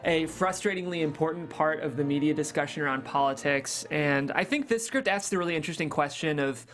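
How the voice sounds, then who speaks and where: A woman's voice: low-pitched, animated, a little fast, faint music behind. A woman hosting a script table read, speaking casually and narrating stage directions.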